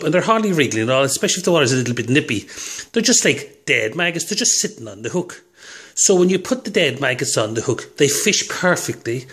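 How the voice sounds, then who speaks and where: A man's voice: mid-range voice, fairly bright tone. Chatty man giving fishing tips. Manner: chatty, rambling, chuckles at his own jokes. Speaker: fishing video host. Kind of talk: casual how-to talk to camera.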